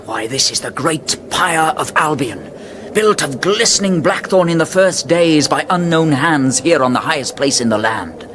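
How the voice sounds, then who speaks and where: A man performs a pompous radio-comedy fantasy hero. The high, swooping voice declaims with indignant grandeur, with noisy ambience behind. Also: animated, normal speed, lightly acted. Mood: solemn, grandiose. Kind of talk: comic fantasy radio drama.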